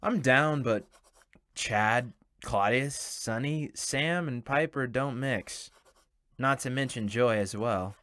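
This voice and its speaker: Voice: medium pitch, takes it slowly, a bit bright, dry recording. A voice actor playing a goofy, mischievous schoolboy who jokes around in an amateur audio drama. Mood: pleading, easygoing. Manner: joking, playful, laughs between phrases.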